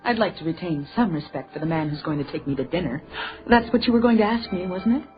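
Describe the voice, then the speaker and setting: Actress as proud, sharp-witted woman journalist, muffled audio. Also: lowish voice, animated, fast talker, close to an everyday voice. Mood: wry, teasing. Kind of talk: detective radio drama acting. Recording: dull, lo-fi audio, faint music behind.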